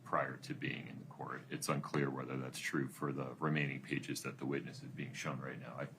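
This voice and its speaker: A male judge ruling from the bench in a courtroom, measured and explanatory, on a noisy livestream recording. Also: a bass voice, level tone, fairly bright tone. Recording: clear background noise, courtroom livestream.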